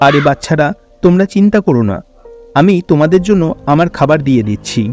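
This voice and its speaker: A man's voice: a little high, expressive, fast talker, a little mellow, faint music behind, lightly acted. A man voicing an earnest, kindly young village hero in a fairy-tale cartoon, given to exclaiming.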